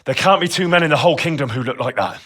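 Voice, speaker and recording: An actor playing an eager, deferential young man in a lord's service in a medieval video game, a little husky. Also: fairly high pitch, racing, fairly bright tone. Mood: eager, earnest.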